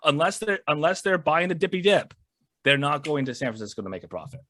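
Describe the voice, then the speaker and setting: A man's voice: a little high, big pitch swings, quick pace, a bit bright, no echo, clean studio sound. A man talking as a guest on a podcast, in casual and emphatic chat that often repeats words as he starts.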